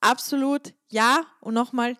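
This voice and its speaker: A woman's voice: on the high side, steady tone, unhurried, fairly bright tone, no room sound, clean studio sound. A woman hosting a solo podcast on stretching, talking in a chatty, emphatic way and repeating words to stress a point. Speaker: podcast host and stretching coach. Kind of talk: casual solo podcast monologue.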